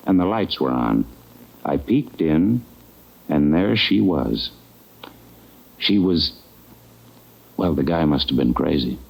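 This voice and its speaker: A man's voice: deep voice, a little mellow, exaggerated voice, poor audio. An actor playing a nervous, defensive male hotel manager in a 1940s radio crime drama, hesitant and folksy as he protests. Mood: shaken, hesitant.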